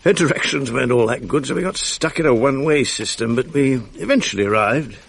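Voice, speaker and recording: A man's voice: medium pitch, animated, fast talker, vocal fry. An actor playing an evasive middle-aged married man with a British accent in a naturalistic radio drama, speaking in an offhand, dryly understated way. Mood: offhand, casual. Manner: offhand, hesitant, dry understatement. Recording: faint hiss on archive radio recording.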